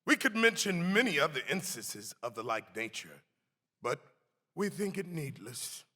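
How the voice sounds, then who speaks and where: A man giving a dramatic reading of a historic account, theatrical and deliberate, with swelling emphasis. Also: a little high, big pitch swings, pushed and bright. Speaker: actor reading aloud. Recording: long hall reverb.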